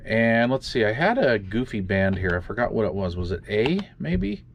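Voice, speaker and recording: A man casually walking through radio software in a makeshift home studio, often trailing off and muttering to himself as he tinkers. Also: fairly low pitch, sing-song, normal speed, gravelly, lo-fi sound, slight background noise. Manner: muttering to himself while fiddling with gear, many fillers. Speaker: radio hobby video host. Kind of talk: casual hands-on demonstration.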